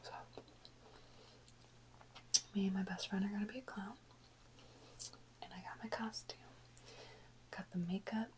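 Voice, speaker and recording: A woman whispering softly and close to the microphone in an informal, rambling ASMR chat with unhurried pauses. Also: mid-range voice, fairly even intonation. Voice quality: soft unvoiced whisper. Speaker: ASMR video creator. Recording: close whisper with faint background noise.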